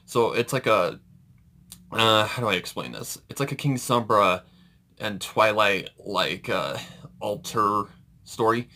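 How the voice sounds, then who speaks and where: A man's voice: fairly low pitch, unhurried, pushed and bright. A man hosting a reaction video, giving casual commentary in an informal, hesitant, rambling way.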